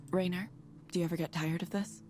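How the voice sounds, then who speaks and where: A woman's voice: on the low side, steady tone, brisk, a little mellow, slight background noise, close to an everyday voice. A woman playing a guarded, skeptical tech researcher in a visual novel, speaking in a restrained, direct, questioning way. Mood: weary, challenging.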